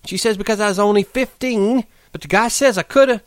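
A man voicing a lonely, restless young woman on a ranch, talking in a quick, coaxing, pleading way. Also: on the high side, big pitch swings, dry recording, clean studio sound, pitched above the performer's own voice. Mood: aggrieved. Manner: pleading, fast-tumbling, coaxing.

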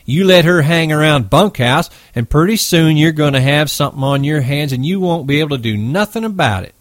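Brief: man voicing a crude, sneering ranch worker, somewhat raised pitch. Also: expressive, fairly quick, no echo, studio quality, lightly acted. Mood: contemptuous. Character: rough middle-aged ranch hand, contemptuous.

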